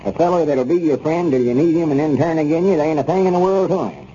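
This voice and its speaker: An actor playing an aggrieved, stubborn older storekeeper in a 1930s radio comedy, with a rural Southern accent and folksy, grumbling talk. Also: male voice, on the high side, fast talker, mellow, natural voice. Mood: bitter, indignant. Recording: noisy dull 1930s radio recording.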